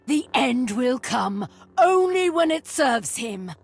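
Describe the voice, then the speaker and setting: Fanatical, creaky Sith villainess. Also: female voice, high-pitched, sing-song, slow pace, full character voice. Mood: zealous menace. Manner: imperious, zealous. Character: fanatical Sith cultist villainess, defiant and contemptuous. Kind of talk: dramatic villain game acting.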